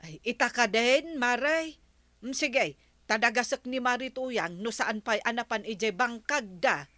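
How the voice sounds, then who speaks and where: An actress with an Ilocano accent playing a concerned, inquisitive village neighbour in a radio comedy-drama, chatty and probing. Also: female voice, a little high, unhurried, sharp tone, dry recording.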